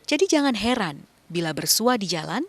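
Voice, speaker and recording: A woman reporter giving scripted narration for a local news feature in a measured, even reading. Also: mid-range voice, no echo.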